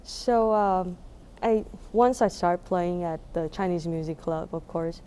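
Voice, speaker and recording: Mellow young Taiwanese-accented woman. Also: on the low side, measured pace, clean tone. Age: young adult. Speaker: musician being interviewed. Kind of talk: casual interview answers.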